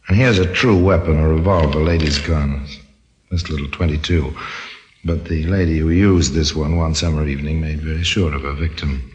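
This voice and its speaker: Very deep-voiced man, wry radio narrator. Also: darkish tone. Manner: wry, deliberate, macabre relish. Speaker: narrator and host. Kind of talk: old-time radio mystery narration.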